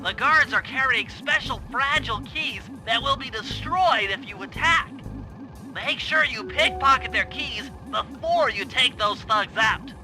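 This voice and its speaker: A man's voice: very high voice, measured pace, poor audio. A man voicing a nerdy, brainy mission planner in a video game, briefing in a precise, pedantic way. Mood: focused, instructive. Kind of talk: video game cutscene acting. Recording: background music.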